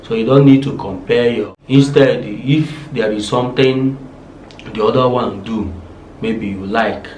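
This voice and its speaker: A man's voice: medium pitch, unhurried, darkish tone. A man with a Nigerian accent, chatting casually as co-host and pressing his point with emphatic rhetorical questions. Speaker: co-host, husband. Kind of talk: casual chat. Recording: echoey room, faint noise.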